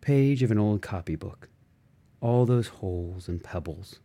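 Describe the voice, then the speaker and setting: A man reads literary fiction in a low, relaxed voice. His delivery is unhurried and musing, moving through fragmented thoughts with expressive shifts. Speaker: audiobook reader. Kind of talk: literary audiobook narration of interior monologue.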